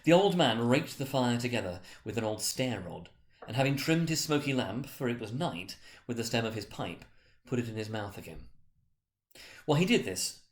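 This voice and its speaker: Male reader for dramatic story narration, medium pitch, scripted delivery.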